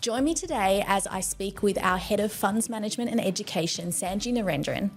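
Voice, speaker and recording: A woman's voice: mid-range voice, darkish tone. Female podcast host over music. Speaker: podcast host interviewing a guest. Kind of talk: podcast introduction and interview.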